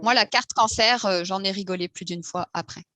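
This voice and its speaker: A woman's voice: medium pitch, moderate pace, sharp tone, no room sound. A woman, a podcast guest who lived through cancer, speaking candidly and lightly. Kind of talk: casual podcast conversation.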